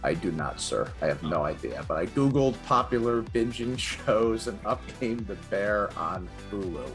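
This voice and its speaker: A man's voice: fairly low pitch, lively intonation, moderate pace, a bit bright, faint music behind. A middle-aged man co-hosting a sports show with light-hearted banter and dry, self-deprecating jokes.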